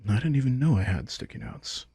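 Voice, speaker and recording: A man playing a smug, manipulative vampire king in an intimate roleplay audio drama, talking casually with teasing, soft condescension. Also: medium pitch, sing-song, fairly quick, husky, no room sound, clean studio sound, full character voice.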